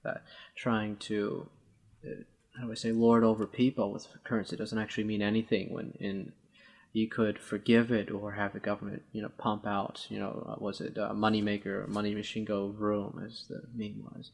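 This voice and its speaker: A man co-hosting a talk show, debating casually in a halting, wordy way with formal turns of phrase. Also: on the low side, monotone, creaky.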